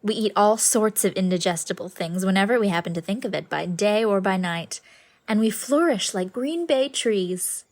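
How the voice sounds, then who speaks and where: A woman's voice: mid-range voice, lively intonation, moderate pace, darkish tone, natural voice. An actress playing a sweet, whimsical middle-aged lady in an audiobook drama, with effusive, playful, theatrical phrasing. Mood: playfully confiding. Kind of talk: scripted audiobook drama acting.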